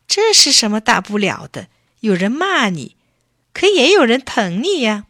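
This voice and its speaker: A woman voicing a kindly, patient old grandfather in a children's story, gently coaxing and instructing. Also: a little high, sing-song, unhurried, no echo, studio quality, voice pushed up, heavily acted. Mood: concerned.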